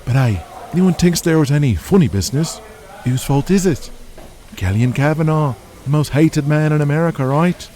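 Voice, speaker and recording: A man's voice: fairly high pitch, expressive, normal speed, warm tone, pitched above the performer's own voice, lightly acted. A man voicing a cocky, sly wrestling villain in an audio drama, with a smug, taunting and colloquial way of talking. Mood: sly provoking.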